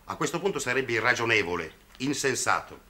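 Stern middle-aged lawyer, forceful tone, very varied intonation, formal, articulate, 1960s TV drama. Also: male voice, mid-range voice, expressive, brisk. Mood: stern, insistent. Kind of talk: 1960s television drama acting. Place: indoors, office, off-screen microphone.

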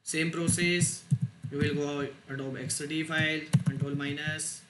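Halting man in a noisy, echoey room. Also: a little high, flat delivery. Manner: halting, short clipped phrases, non-native phrasing. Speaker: tutorial instructor. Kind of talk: step-by-step software screen tutorial. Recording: roomy echo, loud noise, clicks.

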